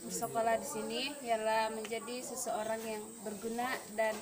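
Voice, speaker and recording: A woman's voice: on the high side, flat delivery, moderate pace, a crowd in the background. A nineteen-year-old woman from Sabah, a student introducing herself outdoors. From Sabah, Malaysia. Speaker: college student. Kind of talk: self-introduction to camera.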